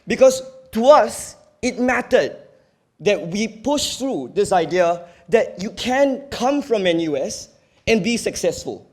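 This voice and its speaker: High-pitched Singaporean male debater. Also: expressive, takes it slowly. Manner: earnest, emphatic, argumentative. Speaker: university debater. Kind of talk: competitive debate speech.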